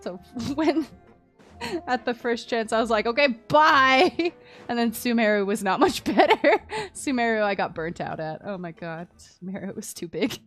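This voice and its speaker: A woman with a fairly high voice hosts a game stream in casual chat. She is expressive and teasing, quick to giggle. Music plays softly behind her. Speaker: livestream host.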